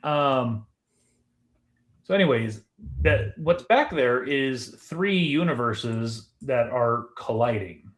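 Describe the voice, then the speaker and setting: A man co-hosting a podcast, talking casually about films in an explanatory way, thinking aloud with pauses as he goes. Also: medium pitch, lively intonation. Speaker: podcast co-host. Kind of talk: casual film discussion.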